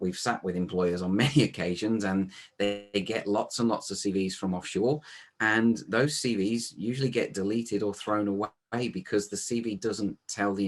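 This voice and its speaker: A man on a webinar panel explaining a process quickly and fluently. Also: sits low, breathy edge.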